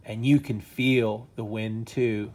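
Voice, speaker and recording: A man's voice: on the low side, fairly even intonation, slow, a little mellow, slight background noise. A man reading aloud and voicing a weary, worried mother bear in a storybook, with a sighing, slightly grim manner.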